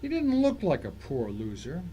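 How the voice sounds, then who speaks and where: A man's voice: fairly high pitch, wildly swooping pitch, moderate pace, mellow, breathy edge. A man reading a scripted first-person thriller story as its narrator, in a dramatic, suspenseful way.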